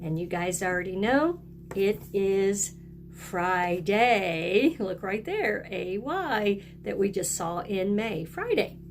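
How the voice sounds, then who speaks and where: A woman's voice: medium pitch, lively intonation, slow, faint music behind. A woman teacher talking to young children in a video lesson, encouraging them and inviting them to join in. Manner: encouraging, asks children to repeat along. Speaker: early-grade teacher.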